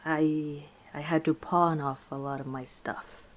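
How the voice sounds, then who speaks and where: A woman playing a guarded, gently wry orc tavern owner in an intimate ASMR roleplay, speaking reflectively with hesitant pauses. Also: deep voice, steady tone. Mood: weary, hurt. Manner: unhurried, reflective, hesitant pauses, soft chuckles.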